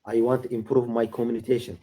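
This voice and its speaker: Hesitant young learner. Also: male voice, medium pitch, level tone, warm tone. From Iraq. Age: late teens. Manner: halting, many uh fillers and restarts. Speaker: English learner. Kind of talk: casual video-call conversation.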